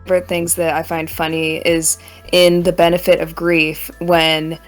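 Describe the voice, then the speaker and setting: A woman's voice: lowish voice, monotone, moderate pace, soft background music. A woman co-hosting a podcast, giving her opinions in a casual group chat. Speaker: podcast co-host.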